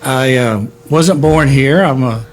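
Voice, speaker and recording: A middle-aged man from Texas, a history center director being interviewed, speaking in a measured way with many filler words. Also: medium pitch. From born in Hawaii, raised in Texas. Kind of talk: conversational interview.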